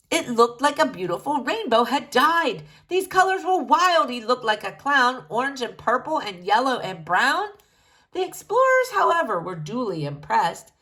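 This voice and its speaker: A woman reading a rhyming children's story aloud in an expressive narrating style. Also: high-pitched. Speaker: storybook reader.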